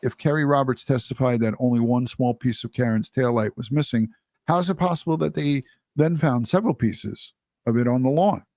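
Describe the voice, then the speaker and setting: A man's voice: medium pitch, fairly quick, darkish tone, no echo. Blunt man reading viewer messages. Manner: blunt, swears freely. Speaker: livestream co-host.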